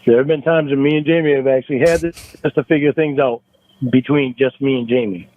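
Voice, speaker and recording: A man arguing over the phone in a halting, defensive way, repeating himself, on a thin line. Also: medium pitch, steady tone, narrow, tinny audio, slight background noise. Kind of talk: phone argument. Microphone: phone call.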